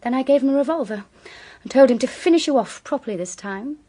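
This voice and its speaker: An actress playing a coolly amused, seductive and remorseless murderess in a radio drama, with a silky, mocking delivery. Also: female voice, sits high, lively intonation, quick pace, warm tone. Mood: cold, scornful.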